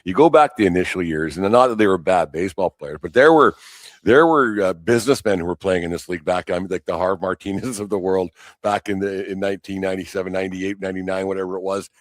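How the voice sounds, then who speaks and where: A man's voice: deep voice, quick pace, dry recording, studio quality. A man hosting a casual sports podcast, asking long, rambling questions that circle back and restart. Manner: rambling, repeats and restarts phrases mid-question. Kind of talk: casual unscripted sports interview.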